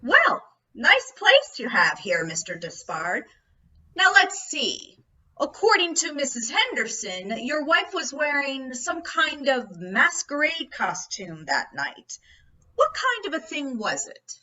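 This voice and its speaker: A woman's voice: sits high, very animated, slow, pushed and bright, muffled recording, full character voice. A woman acting a brash, folksy police captain in an old-time radio play, slyly probing with mock-casual questions. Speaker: actor in an amateur radio play.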